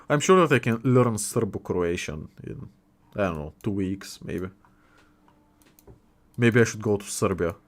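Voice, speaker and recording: Low-voiced young man streaming. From Bulgaria. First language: Bulgarian. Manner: chatty, jokey, reacts to viewers. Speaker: livestream host. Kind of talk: casual livestream chat, reading chat aloud.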